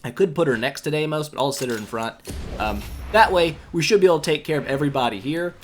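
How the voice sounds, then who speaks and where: A young man giving relaxed, rambling commentary while playing a mobile game, a bit congested from a cold, with lots of filler words and self-mocking asides. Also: fairly high pitch, fast talker, a little hiss or hum. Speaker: gaming YouTuber. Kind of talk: casual gameplay commentary.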